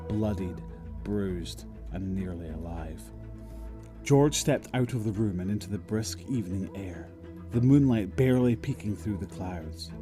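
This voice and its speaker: Lowish male narrator over music. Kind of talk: scripted dramatic narration, audio drama.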